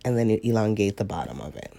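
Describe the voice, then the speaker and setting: Woman, stuffy-nosed painting teacher, mellow tone, easygoing and chatty with viewers. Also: very deep voice, sing-song, fast, gravelly. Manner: chatty, laughs often, talks to viewers. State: stuffy nose, sniffly. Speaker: watercolor painting instructor. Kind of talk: casual live tutorial talk.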